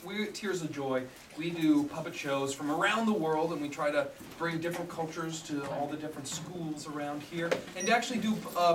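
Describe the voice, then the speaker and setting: A man telling a story live to children as a puppet show host, in an animated way, prompting them to join in. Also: fairly high pitch. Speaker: puppet theater storyteller and director. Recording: echoey room, distant mic, faint noise.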